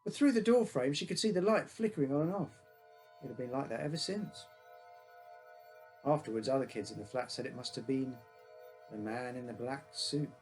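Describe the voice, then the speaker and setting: A man's voice: mid-range voice, normal speed, long pauses, darkish tone, faint music behind. A man reading his own short story aloud as a first-person narrator, in simple childlike phrasing.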